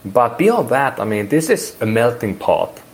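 A man's voice: mid-range voice, big pitch swings, a little slow. A man in his forties from Finland with a Finnish accent, speaking English as a second language and rambling through an interview with many restarts. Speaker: guest. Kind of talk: casual interview.

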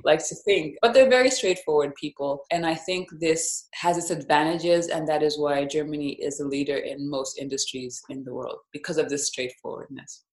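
A woman from Zambia, a life and business coach, telling her story as a podcast guest in a reflective, measured way. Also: low voice, darkish tone. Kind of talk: podcast interview. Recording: roomy echo.

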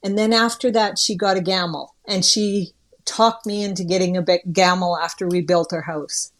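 A woman's voice: on the low side, steady tone, normal speed. A middle-aged or older woman from northern Ontario with a Canadian accent, chatting casually as an interview guest in a folksy, plain-spoken way.

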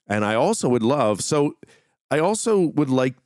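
A man's voice: medium pitch, very animated, moderate pace, darkish tone, no room sound, clean studio sound. A man hosting a podcast, talking casually and informally to his listeners in a rambling, conversational way. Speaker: podcast host. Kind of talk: casual solo talk to listeners.

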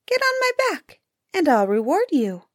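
Lady doing a little storybook grouse, high voice, pleading n earnest, bit mysterious. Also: huge pitch swings, moderate pace, no echo, clean studio sound. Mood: promising. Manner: pleading, entreating.

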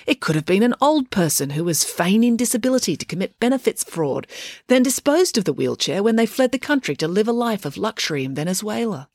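A woman's voice: mid-range voice, big pitch swings, fairly quick, a little mellow, no room sound, clean studio sound. Woman putting on a posh, wry, precise girl. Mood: smug, reasonable. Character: posh, wry, unflappable girl who reasons coolly.

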